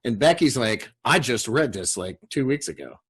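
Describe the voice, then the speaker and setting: Halting, casual man hosting a discussion. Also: medium pitch, sing-song, a bit bright, breathy edge. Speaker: host and writer of a table read. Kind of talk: casual video call discussion. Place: remote group video call.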